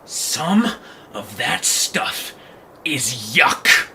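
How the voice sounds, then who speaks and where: An actor voicing a hungry, impatient pizza troll who whines and shouts complaints in an audio drama. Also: medium pitch, sing-song, very slow, gravelly, noisy background, full character voice. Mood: picky, displeased. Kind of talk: fan audio drama acting. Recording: music behind the voice.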